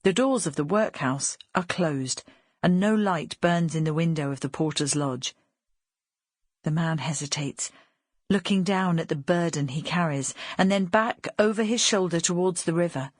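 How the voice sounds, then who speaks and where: Woman narrating a novel, slightly husky, close and dry. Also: fairly low pitch, moderate pace, no room sound. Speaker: audiobook narrator. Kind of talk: scripted literary narration, dramatic storytelling.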